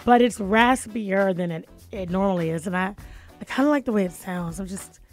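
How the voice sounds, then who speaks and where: A raspy-voiced woman in her thirties hosting a talk radio show with lively, laughing banter in a studio. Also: mid-range voice, moderate pace, faint music behind.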